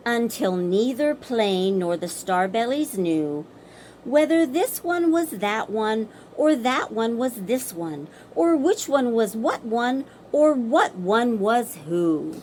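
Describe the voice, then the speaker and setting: A woman storyteller performing a children's bedtime story in rhythmic verse, theatrical and expressive. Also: a little high, slow pace, a little hiss or hum, poor audio.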